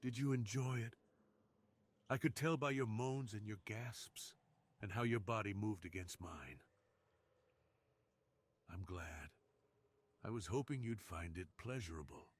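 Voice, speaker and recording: Guy doing a smooth talking crime boss for asmr roleplay, lowish voice, hushed and teasing, dominant but tender. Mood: tender, confiding. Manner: slow, teasing, hushed delivery that drops into whispers. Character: alluring, dominant, seductive male crime boss with a tender side.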